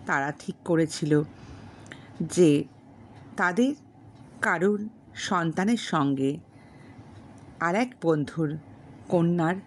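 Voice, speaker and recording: A woman narrating a classic novel as a storyteller, formal and measured, pausing between short phrases. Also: low voice, a little mellow, a little hiss or hum. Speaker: storyteller and channel host. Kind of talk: audiobook narration of a classic novel with spoken introduction.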